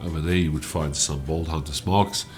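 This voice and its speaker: Low-voiced man, stuffy. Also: moderate pace, soft background music. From South Africa. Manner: relaxed, explanatory, with frequent "uh" fillers. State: stuffy from a cold. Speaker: gaming channel host. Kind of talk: gameplay walkthrough commentary.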